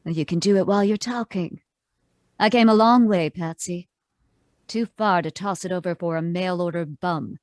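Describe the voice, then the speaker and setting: A woman's voice: on the low side, normal speed, a little mellow, no echo, studio quality, natural voice. Woman playing a cutting, tough-talking femme fatale. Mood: cold, threatening. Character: hard, threatening femme fatale. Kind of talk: 1940s radio noir acting.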